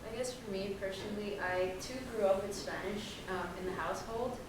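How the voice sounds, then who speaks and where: A woman's voice: mid-range voice, a little fast, noisy background. A young woman on a panel telling her family's story in a loose, chatty way, full of fillers. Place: large echoey room. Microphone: distant room mic. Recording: echoey hall recorded from far off.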